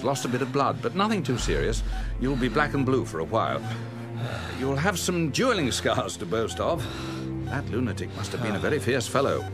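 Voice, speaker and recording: An authoritative English hospital doctor, played by a male actor, speaks crisply and formally with a dry, sardonic edge. His intonation moves up and down freely, and music plays behind this dramatic dialogue. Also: medium pitch, close to an everyday voice. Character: brisk, authoritative male British hospital doctor, faintly exasperated. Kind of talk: dramatic film-style acting.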